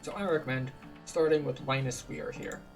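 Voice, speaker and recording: A man's voice: on the high side, steady tone, moderate pace, vocal fry, faint music behind. A man giving casual, explanatory walkthrough commentary as a video game guide. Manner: informal, explanatory. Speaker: gaming guide host.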